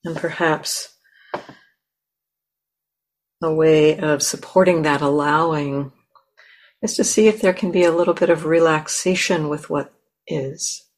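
A woman teacher leading a guided meditation in a gentle, unhurried way with long pauses between phrases. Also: low voice, steady tone.

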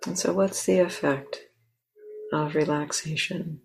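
Female meditation teacher, low register, very slow pacing, gentle delivery with long pauses mid-sentence. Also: steady tone, creaky, a little hiss or hum, low-quality recording. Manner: gentle, unhurried, pausing mid-phrase. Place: meditation center.

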